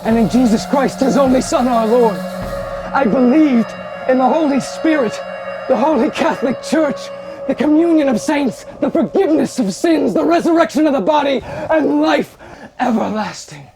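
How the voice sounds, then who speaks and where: An actor on a theater stage playing a tormented, grandiose Victorian murderer in formal, declamatory monologue, with feverish piety. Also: male voice, extremely high pitch, animated, normal speed, over music, low-quality recording. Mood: fervent, ecstatic. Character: tormented male Victorian serial killer, grandiose, feverishly pious. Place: theater stage before an audience.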